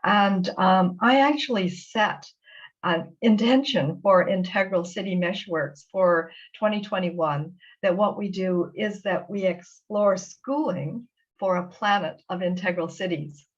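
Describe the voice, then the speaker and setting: A woman's voice: mid-range voice, a little slow. Woman hosting a lo-fi video-call interview. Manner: enthusiastic, with frequent restarts and fillers. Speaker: interview host. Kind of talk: warm conversational interview.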